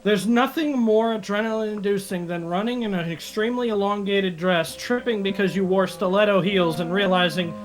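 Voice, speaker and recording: A man's voice: high voice, brisk, hardly any pauses, poor audio. A man co-hosting a casual video reaction, joking crudely with lots of swearing. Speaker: co-host.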